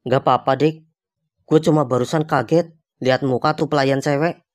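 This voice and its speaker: A man's voice: medium pitch, steady tone, moderate pace, lightly acted. A man voicing a young, easygoing but jumpy hotel guest in a cartoon, speaking casual slang. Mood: concerned.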